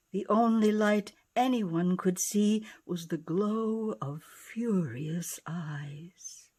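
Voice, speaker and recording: A woman reading a rhyming picture book expressively, with dramatic delivery that dips into hushed tones. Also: on the low side. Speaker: author and narrator.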